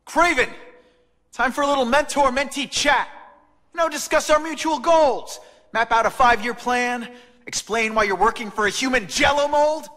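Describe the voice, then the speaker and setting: An actor playing a wisecracking, indignant young superhero with a sarcastic, quippy way of talking in a video game. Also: male voice, very high voice, sharp tone, heavily acted. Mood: mocking.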